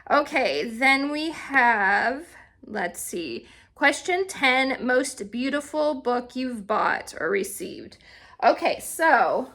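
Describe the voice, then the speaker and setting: A woman's voice: high-pitched, lively intonation, slow pace, fairly bright tone. A woman talking informally as a vlogger, in a casual, chatty style, thinking aloud as she goes. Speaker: book vlogger. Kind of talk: casual, chatty book tag video.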